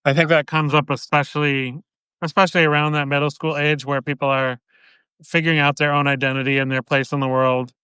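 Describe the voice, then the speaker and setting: A man being interviewed as an author, explaining in a measured way with "um" pauses. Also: on the high side, flat delivery, sharp tone, clear, resonant tone, no echo, low-quality recording. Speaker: guest author. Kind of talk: interview answers.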